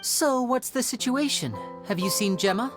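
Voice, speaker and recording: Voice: medium pitch, expressive, moderate pace, over music, natural voice. An actor playing a polite, composed young magician in a video game. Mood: casual, puzzled.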